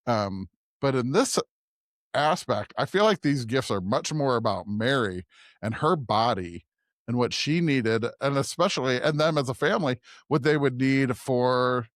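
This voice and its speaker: A man co-hosting a podcast as a preacher, discussing scripture conversationally in a halting way, searching for words and often checking in with "right?". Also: mid-range voice, animated, no room sound, studio quality.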